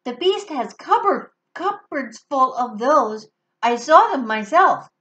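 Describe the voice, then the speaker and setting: A woman's voice: sits high, sing-song, slow pace, pushed and bright, highs cut off, voice pushed up, heavily acted. A woman voicing an eager little werewolf child in a storybook reading. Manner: eager, tattling.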